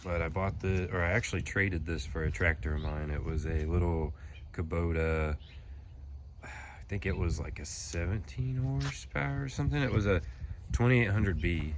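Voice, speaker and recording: Young male vlogger, head cold, deep voice, loose filler-heavy delivery, noisy phone audio outdoors. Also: normal speed. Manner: relaxed rambling with fillers. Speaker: channel host. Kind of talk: casual how-to vlog. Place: pole barn, then outdoors on a lawn. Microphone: phone at arm's length, then phone camera behind it.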